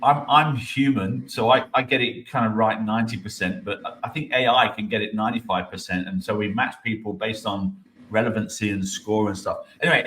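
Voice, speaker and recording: A man's voice: mid-range voice, a bit bright, low-quality recording. A middle-aged man hosting a casual interview, talking in a rambling, enthusiastic way with stammered restarts. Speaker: host.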